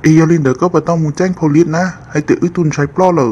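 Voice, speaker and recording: A man's voice: fairly high pitch, steady tone, fast, mellow, close to an everyday voice. A man voicing a male character in a family drama cartoon, in dramatic dialogue. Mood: conversational. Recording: dull lo-fi, faint noise.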